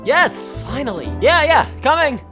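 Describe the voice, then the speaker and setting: An actor playing an excitable, impatient teenage boy in an audio play, exclaiming exuberantly. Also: high-pitched, unhurried, over music. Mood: impatient, eager.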